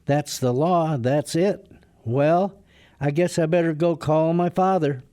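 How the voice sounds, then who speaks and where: A man's voice: on the high side, takes it slowly, warm tone, clear, resonant tone, dry recording, studio quality, soft background music. A man playing a decent, wry and somewhat hesitant young man in a radio drama. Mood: earnest, hesitant. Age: young adult. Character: decent, gloomy young man under his father's thumb. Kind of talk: radio drama acting and narration.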